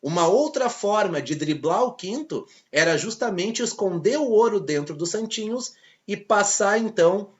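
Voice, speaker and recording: A man with a Brazilian accent teaching a history lesson, telling it as a lively story and acting out the voices of people in it. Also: sits high, moderate pace, dull audio. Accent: Brazilian Portuguese. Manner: lively storytelling, acts out quoted characters. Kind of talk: video lesson.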